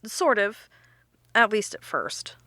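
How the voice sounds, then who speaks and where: A confident researcher in an audio drama. Her voice is bright and forceful, recorded close and dry, and she lays out her theories with emphasis. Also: mid-range voice, sing-song, slow, no room sound, heavily acted. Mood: explaining, intent. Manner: emphatic, explanatory.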